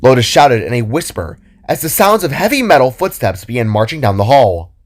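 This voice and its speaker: A man's voice: mid-range voice, big pitch swings, a little fast, faint music behind. A man narrating a scripted fantasy story expressively.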